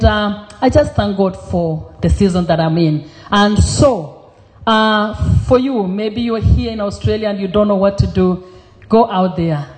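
A middle-aged woman from Kenya, giving an earnest testimony as a guest preacher in church, pausing often with fillers. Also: fairly low pitch, mellow. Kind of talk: testimony sermon.

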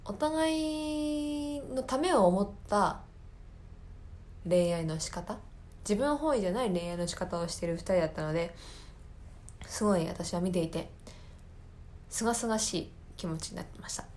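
A woman hosting a casual solo radio show, speaking earnestly and often pausing to search for words and rephrase. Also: mid-range voice, darkish tone. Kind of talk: casual monologue, recommending a favorite film to listeners. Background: background music.

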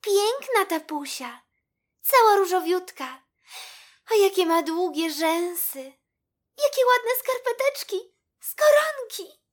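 Small sweet mouse schoolgirl for storybook audio: tiny high voice, earnest and tender. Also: sing-song, takes it slowly, full character voice. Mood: admiring, friendly. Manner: soft-hearted, childlike, earnest. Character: sweet, sensitive little girl mouse at school. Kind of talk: children's storybook character voice.

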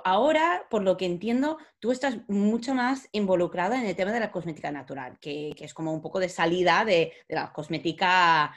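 A woman hosting a podcast, introducing her guest and asking friendly, curious questions. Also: mid-range voice. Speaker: podcast host. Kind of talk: podcast interview.

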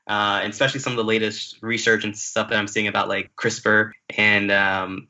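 A man's voice: lowish voice, sharp tone. A young man from Jamaica, a podcast guest chatting casually about his path into tech, with lots of "like" and "you know" fillers. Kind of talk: casual interview chat. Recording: dull lo-fi audio.

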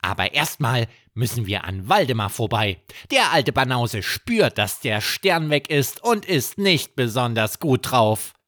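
A man's voice: mid-range voice, big pitch swings, unhurried, hardly any pauses, fairly bright tone, no echo, studio quality. A man voicing a cheeky, worldly-wise toy rabbit in a children's story, teasing and humming between remarks. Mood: teasing, knowing.

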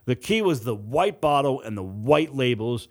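A man's voice: medium pitch, expressive, normal speed, darkish tone. A man reading a novel aloud as scripted, dramatic audiobook narration.

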